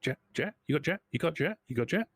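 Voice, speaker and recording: A man's voice: on the high side, lively intonation, quick pace, breathy edge, no room sound, voice pushed up. A man voicing a cheeky, unashamed cowardly scavenger in a tabletop game, blunt and slangy. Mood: eager, cheeky.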